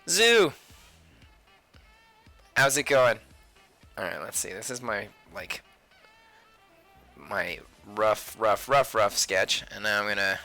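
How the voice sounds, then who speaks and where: Bright-voiced man chatting on a livestream. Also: mid-range voice, no room sound. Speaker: livestream host, sketch artist. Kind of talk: casual livestream chat while drawing.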